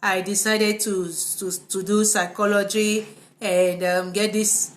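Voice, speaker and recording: A woman with a Cameroonian accent speaks at a middling pitch in a relaxed, informal way, like a therapist hosting her own live vlog. The delivery is conversational and unscripted. The room around her adds a clear echo to the voice. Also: slow pace. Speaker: psychotherapist hosting a live video. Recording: echoey, reverberant room.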